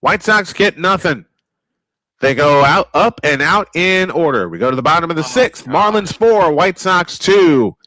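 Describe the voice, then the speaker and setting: A man's voice: on the high side, animated, unhurried, sharp tone, no echo. A man calling live baseball play-by-play in an old-school broadcast manner, with some wordplay. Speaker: play-by-play announcer.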